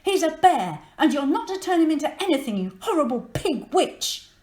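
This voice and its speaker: A woman's voice: sits high, very animated, normal speed, a little mellow, reverberant, pitched above the performer's own voice. A woman voicing an anxious, outspoken little girl in a children's storybook, earnest and emphatic. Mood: indignant. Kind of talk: children's storybook character reading.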